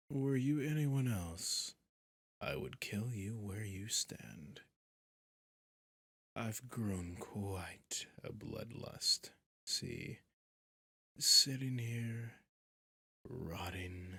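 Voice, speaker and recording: A man playing a sadistic, centuries-old vampire prince in an ASMR roleplay, slow and menacing, often dropping into a whisper. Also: sharp tone, no room sound, clean studio sound, full character voice. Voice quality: low, husky, gravelly.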